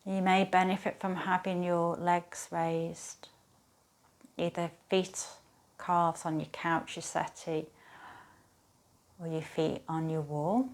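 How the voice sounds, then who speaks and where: A woman leads a guided relaxation as a yoga teacher. Her voice sits a little low for a woman. She speaks gently and soothingly, leaving unhurried pauses between phrases. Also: level tone.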